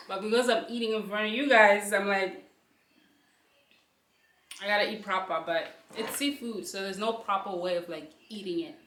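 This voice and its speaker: A woman's voice: mid-range voice, moderate pace, pauses often, fairly bright tone, a little hiss or hum. A young woman, chatting to the camera between bites of food while she hosts her channel. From South Africa. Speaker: channel host. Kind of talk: casual chat while eating. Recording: echoey room, mic at a distance.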